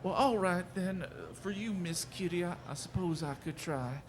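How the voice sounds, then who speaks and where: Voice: mid-range voice, expressive, normal speed, natural voice. Actor's meek, mournful western drifter. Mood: timid, pleading. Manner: hesitant, repeating words plaintively. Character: meek, mournful drifter devoted to his mule. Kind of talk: western radio drama acting.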